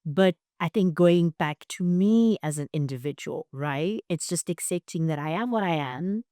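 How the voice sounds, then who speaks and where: A woman's voice: fairly low pitch, expressive, moderate pace, warm tone, no room sound, studio quality. A young adult woman, a guest in an interview, talking thoughtfully and often checking in with "right?". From South Africa. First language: Shona. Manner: thoughtful, frequent "right?" tags. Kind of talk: reflective interview talk.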